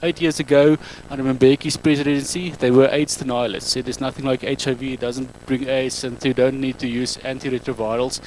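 A man in his thirties speaking English with an Afrikaans accent, giving a public talk on a handheld mic in a halting, self-correcting way. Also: mid-range voice, little pitch movement, a little mellow, a little hiss or hum, poor audio. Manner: halting, self-correcting non-native English with frequent ums. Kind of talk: public talk to a live audience.

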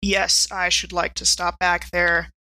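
Female tabletop player, bright medium-pitched voice, hesitant conversational roleplay, dry close recording. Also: level tone, a little slow, no echo. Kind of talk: casual chat mixed with in-character play.

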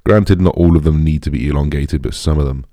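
A young British man with a deep, raspy voice, chatting casually as a podcast host in a rambling, informal way. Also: fairly quick, warm tone, no room sound, studio quality. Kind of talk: casual solo podcast chat.

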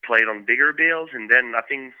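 A man's voice: medium pitch, moderate pace, smooth, no room sound, phone-quality sound. A man from Quebec with a French Canadian accent, a band member talking loosely in a casual interview, full of fillers and restarts.

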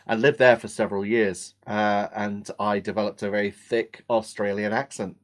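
English voice actor guest, slightly low-pitched, easygoing storyteller in interview. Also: male voice, little pitch movement, normal speed. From England. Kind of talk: relaxed interview answers.

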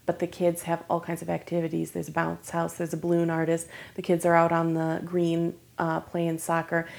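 A woman's voice: fairly low pitch, vocal fry, a little mellow. A woman in her thirties, originally from Michigan, chatting as a guest on a podcast in an upbeat way and laughing often. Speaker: guest musician. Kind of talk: casual interview chat.

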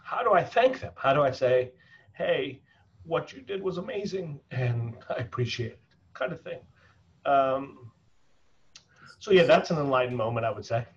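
A man with an American accent, originally from South Africa, recounts his past as an interview guest, earnest and halting. His voice sits at an ordinary middle pitch. The recording is a bit dull and lo-fi. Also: expressive. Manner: earnest, halting with many restarts and fillers. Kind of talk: reflective personal storytelling.

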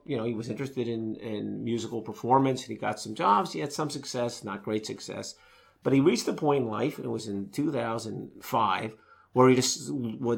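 A man with a gravelly, fry-tinged voice at an ordinary pitch, chatting casually as a co-host. He tells stories and gets lively and emphatic as they build. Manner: storytelling, animated when excited. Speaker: podcast co-host. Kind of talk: casual chat.

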